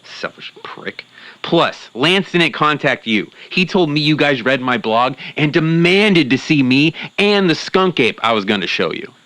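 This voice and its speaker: A man's voice: a little high, animated, unhurried, sharp tone, dry recording. A man playing a self-important young cryptid-hunting believer in a comedic audio drama, earnest and easily offended. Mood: petulant. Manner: petulant, earnest, melodramatic. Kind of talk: comedic audio drama acting as interview guest.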